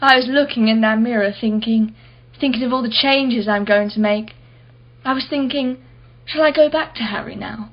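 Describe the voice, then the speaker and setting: A woman's voice: fairly high pitch, normal speed, muffled recording. An actress playing a dying woman in a radio play, wistfully recalling her day in a dreamy, reflective way. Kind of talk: radio play acting with inner monologue.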